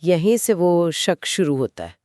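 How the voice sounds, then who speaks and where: A woman's voice: lowish voice, expressive, unhurried, darkish tone, slight background noise. A woman co-hosting a podcast, reacting to a horror story and explaining why it unsettles. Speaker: podcast co-host. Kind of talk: conversational podcast discussion.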